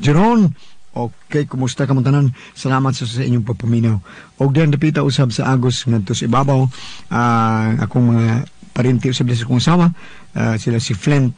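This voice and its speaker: A man's voice: mid-range voice, darkish tone. A man with a Cebuano Filipino accent hosting on radio, greeting listeners informally and often tagging phrases for agreement. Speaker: radio host. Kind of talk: radio greetings and letter introduction.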